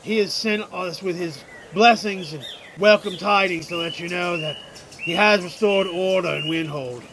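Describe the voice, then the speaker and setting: A man's voice: sits high, normal speed, background noise. Man acting a commanding fantasy grain hauler. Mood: formal. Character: commanding male grain hauler and messenger, brisk, businesslike. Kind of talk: fantasy radio drama acting.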